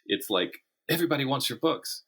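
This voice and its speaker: A man speaking as a writer guest in a conversational interview, telling things in an animated way and voicing imagined quotes. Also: mid-range voice, fairly quick, breathy edge.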